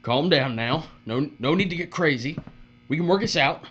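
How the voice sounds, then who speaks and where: A man's voice: on the high side, normal speed. Man acting cruel folksy bully, muffled hum. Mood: panicked pleading. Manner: taunting drawl with folksy uneducated grammar. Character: cruel, leering, folksy male bully boss in a dystopia. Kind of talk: audio drama acting. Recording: muffled audio with steady mains hum.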